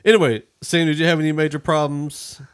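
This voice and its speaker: A man's voice: on the high side, little pitch movement, fairly quick, clear, resonant tone. A man hosting a podcast film discussion, speaking casually and giving opinions that he qualifies as he goes. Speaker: podcast host.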